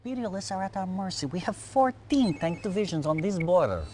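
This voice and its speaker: An actor playing a grim, callous Soviet military commander, formal and clipped, in a video game cutscene. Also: male voice, high-pitched, expressive, fairly quick, music in the background. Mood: boastful.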